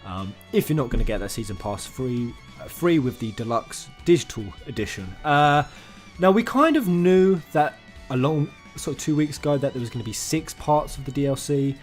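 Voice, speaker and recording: A man giving casual, sweary gaming news commentary as a channel host, excitable and informal in manner. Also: mid-range voice, moderate pace. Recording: music under the voice. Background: background music.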